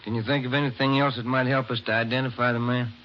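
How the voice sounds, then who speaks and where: An American man playing a brisk, practical police detective in a 1950s radio drama. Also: mid-range voice, little pitch movement, lightly acted. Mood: businesslike. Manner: plain, businesslike. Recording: muffled, noisy old radio recording.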